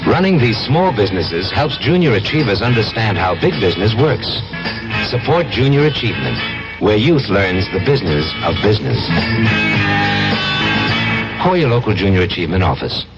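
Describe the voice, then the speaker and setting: A man delivers a scripted public service spot in a low, very melodic voice. He sounds informative and promotional. Loud plucked guitar plays on the old radio recording. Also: big pitch swings, normal speed, highs cut off. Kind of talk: scripted 1970s radio announcement.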